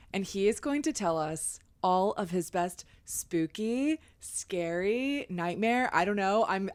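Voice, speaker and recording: A woman hosting a podcast, her voice hoarse from getting sick, chatting casually and laughing often. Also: on the low side, a little mellow. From Ohio, US. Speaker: podcast host.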